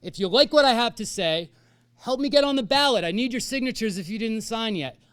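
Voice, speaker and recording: Guy running for office, fired up speech, high clear voice, dry sound. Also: lively intonation, fairly quick, a bit bright, no echo. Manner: impassioned, determined. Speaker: candidate for elected office. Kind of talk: stump speech at a candidates night.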